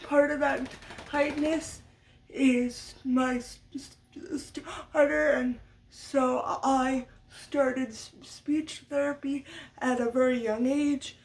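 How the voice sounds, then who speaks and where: A woman's voice: high-pitched, steady tone, slow pace. A young woman vlogger who stutters often, repeating sounds as she tells a sit-down story at home. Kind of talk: sit-down story time talk. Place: home room with her dog nearby. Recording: voice a bit far from the mic.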